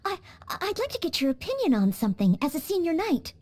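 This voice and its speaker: An actress voicing an earnest, polite young maid training to be a knight in a game cutscene, with small hesitant stammers. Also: female voice, extremely high pitch, wildly swooping pitch, fast talker, a little mellow, full character voice. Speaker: game character.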